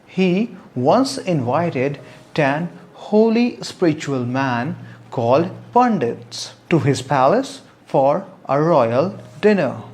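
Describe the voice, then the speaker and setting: A storyteller narrating a scripted moral tale, with dramatic pauses and rhetorical questions. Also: medium pitch, big pitch swings, darkish tone. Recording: music bed under the voice. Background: relaxing meditational music.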